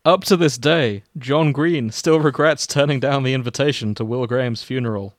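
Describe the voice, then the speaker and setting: Male comedy podcast host-narrator, casual and giggly, middle pitch, dry recording. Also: moderate pace, dry recording. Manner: giggly, often breaking up while reading. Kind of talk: comic fan fiction reading with casual banter.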